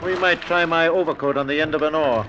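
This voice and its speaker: An actor playing a steady, fatherly, commanding shipwrecked sea captain in an old-time radio drama. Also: a little high, quick pace, close to an everyday voice. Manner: authoritative, fatherly, calm. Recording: muffled, noisy old radio recording.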